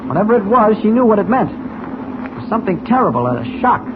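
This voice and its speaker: An actor playing a shrewd, mild-mannered newspaper reporter in a 1940s radio serial, speaking with urgent, deliberate emphasis. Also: male voice, high-pitched, sing-song. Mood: intrigued. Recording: tinny, noisy old radio recording.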